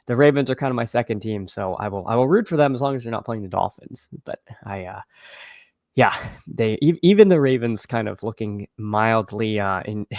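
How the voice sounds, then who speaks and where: A man with a slightly froggy, hoarse voice chatting casually as a fantasy football livestream host, haltingly repeating phrases as he thinks aloud. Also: medium pitch, no echo, studio quality. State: slightly hoarse, froggy after losing his voice at a game. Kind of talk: casual livestream chat and sports commentary.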